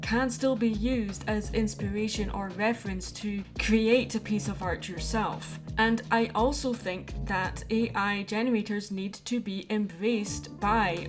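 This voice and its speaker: A woman giving earnest, opinionated commentary to viewers as a video commentator. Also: mid-range voice. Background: background music.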